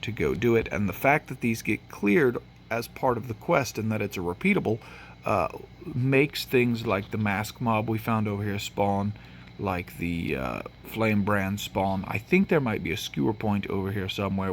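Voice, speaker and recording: A man's voice: lowish voice, normal speed, slight background noise. A man from Tennessee, with a Tennessee accent, giving casual, rambling gameplay commentary with lots of fillers, sniffly from allergies. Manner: rambling, with frequent fillers and restarts. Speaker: gaming channel host.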